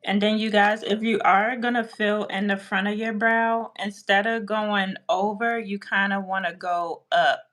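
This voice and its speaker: A woman in her early thirties talks viewers through a makeup look on a casual livestream. She sounds relaxed and chatty, and her pitch sits in an ordinary middle range. She speaks straight to the audience like a friend giving tips. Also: a little slow, few pauses. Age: 30s. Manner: chatty, instructive, addresses viewers directly.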